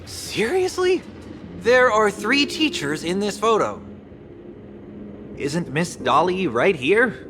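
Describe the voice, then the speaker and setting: A man's voice: sits high, lively intonation, takes it slowly, pauses often, background noise. A man voicing an exasperated, theatrical young student in an anime-style game, speaking with exaggerated emphasis. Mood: incredulous. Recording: music behind the voice. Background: music.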